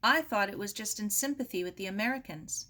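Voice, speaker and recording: A woman's voice: mid-range voice, brisk, pushed and bright, lightly acted. A woman voicing a grieving, irritable storybook uncle, bitter and emphatic. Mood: grave.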